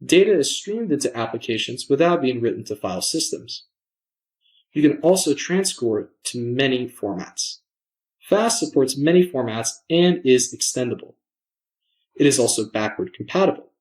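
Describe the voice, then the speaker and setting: A man presenting a software demo in scripted narration, measured and explanatory, enunciating his words. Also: mid-range voice, expressive, rough recording.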